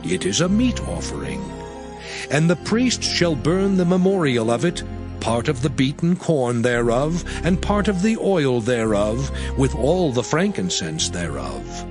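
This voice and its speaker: A man giving a solemn, measured reading of old scripture text. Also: mid-range voice, very animated. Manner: solemn, measured, archaic wording. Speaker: scripture reader. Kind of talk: dramatized Bible reading. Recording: music playing behind.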